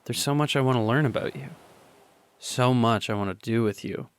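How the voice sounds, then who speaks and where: A young man acting a lovesick, anxious teenage high school boy in an audio drama monologue, speaking haltingly and confessionally. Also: clean tone, no room sound, close to an everyday voice. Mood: wistful, tender.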